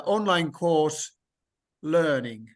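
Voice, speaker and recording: A man whose first language is Finnish, talking slowly and hesitantly in a discussion, with many fillers and restarts. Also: fairly high pitch, expressive. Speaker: language learner sharing experience. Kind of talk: conversational discussion.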